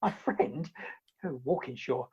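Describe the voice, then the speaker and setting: A woman playing a husky, lovesick English gentleman. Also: rumbling low, lively intonation, slow, muffled recording, exaggerated voice. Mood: suave, put out. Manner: theatrical, blustering, flustered. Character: bumbling, lovesick Victorian gentleman suitor, impetuous and muddled. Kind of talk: comic stage-play acting. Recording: home video-call recording.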